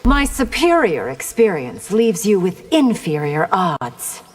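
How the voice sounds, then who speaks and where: An actress playing a proud, confident army commander in a video game, with haughty, formal speech. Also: female voice, medium pitch, big pitch swings, slow pace. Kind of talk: video game character dialogue. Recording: long reverb.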